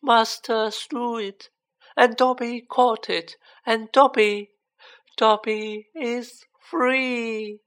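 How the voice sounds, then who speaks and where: A storybook reader voicing a small male house-elf servant with a shrill, squeaky voice and a devoted, wide-eyed manner. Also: steady tone, a bit bright, clear, resonant tone. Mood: awed disbelief. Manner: slow, drawn-out, wide-eyed, speaks of himself in third person.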